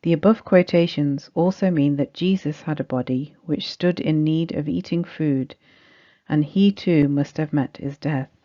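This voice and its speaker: A woman's voice: low voice, unhurried, dark tone. Woman reading scripted study, dull recording. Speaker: reader of a religious study text. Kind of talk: scripted narration of Quran study, reading aloud.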